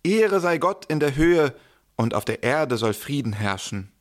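A man playing an excited, plain-spoken shepherd in a Nativity audio play, telling his tale colloquially. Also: mid-range voice, measured pace. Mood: excited, recounting.

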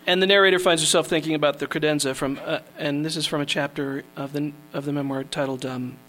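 A man's voice: on the high side, fairly even intonation, fairly bright tone, rough recording. A man hosting a literary reading, introducing the next writer with frequent ums and restarts. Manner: halting, lots of fillers. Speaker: host of a reading series. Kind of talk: introducing readers.

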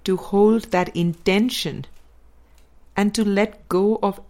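A woman narrating a spiritual dialogue book as a scripted audiobook reading, with measured, deliberate phrasing and pauses. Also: medium pitch, steady tone, darkish tone. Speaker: audiobook reader.

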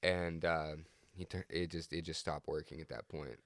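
A man's voice: very deep voice, steady tone, moderate pace, fairly bright tone, no echo. A man hosting in casual podcast banter, informal and enthusiastic, recorded dry and close.

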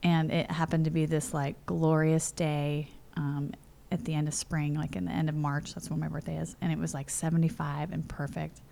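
Low gravelly woman in her forties. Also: level tone, normal speed, hardly any pauses, dark tone, slight background noise. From California. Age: 40s. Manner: chatty, many fillers like "you know" and "like". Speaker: interview guest. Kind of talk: casual storytelling. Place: home.

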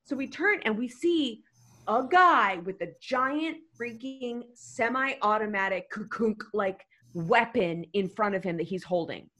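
A woman's voice: on the high side, a little slow. A woman telling a comedic story to a podcast panel, rambling and emphatic. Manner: animated, rambling, emphatic with "freaking". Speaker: guest storyteller.

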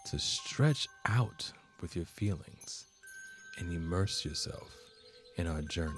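Slow, deep-voiced man guiding meditation. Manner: measured, soothing, deliberate phrasing. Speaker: podcast host and meditation guide. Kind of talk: guided meditation narration.

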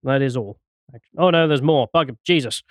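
A man's voice: mid-range voice, moderate pace, no echo, studio quality. A man hosting a casual panel chat and reading out viewer messages.

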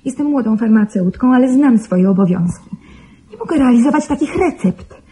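A woman's voice: fairly high pitch, lively intonation, normal speed, mellow, husky. An actress playing a conscientious, earnest young woman pharmacist in a Polish radio play. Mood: firm, troubled. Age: young adult.

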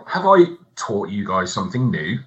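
A man's voice: lowish voice, sing-song, moderate pace, fairly bright tone. A British man in his late forties chatting casually as a podcast co-host, on a dull mic. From United Kingdom. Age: late 40s. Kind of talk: casual chat.

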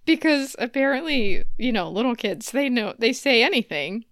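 A woman's voice: a little high, animated, normal speed, fairly bright tone, dry recording. A woman in her thirties chatting casually and telling stories with wry humor as a podcast co-host. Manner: wry, laughs often.

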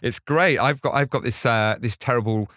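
A man's voice: medium pitch, moderate pace, a bit bright, dry recording, studio quality. A man hosting a podcast, introducing and interviewing a guest in a conversational, articulate way. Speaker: podcast host.

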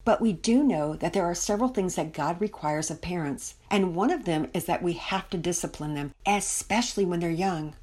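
A woman's voice: fairly low pitch, normal speed, hardly any pauses, darkish tone, slightly rough. A woman voicing a kindly, devout mother in a storybook reading, speaking earnestly and patiently. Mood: earnest, instructive. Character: kindly, devout, instructive mother hosting guests.

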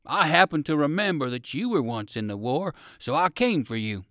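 A man's voice: a little high, lively intonation, normal speed, bright, forceful tone, no room sound, clean studio sound. A man voicing a kind old circus dog soldier in a children's story, hearty, polite and emphatic. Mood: earnest, friendly.

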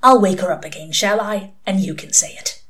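A woman's voice: medium pitch, fairly quick, a bit bright, close to an everyday voice. A woman voicing a sardonic, impatient male demon in an audiobook, with snappy, exclamatory delivery. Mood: smug. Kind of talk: audiobook character reading.

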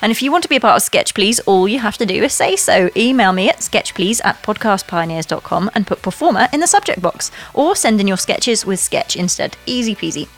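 A woman's voice: medium pitch, expressive, fairly quick, few pauses. A woman hosting a podcast casually, with an enthusiastic, encouraging manner. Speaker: podcast host.

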